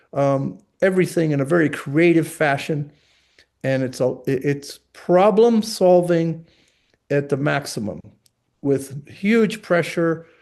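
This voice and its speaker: A man in his sixties, raised in Italy and New York, tells his story as a guest. His voice sits a little high for a man. He talks in stops and starts, full of "um" and restarts. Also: darkish tone. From Italy and New York City. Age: 60s. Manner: halting, many fillers and restarts. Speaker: guest sculptor. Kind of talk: casual interview talk.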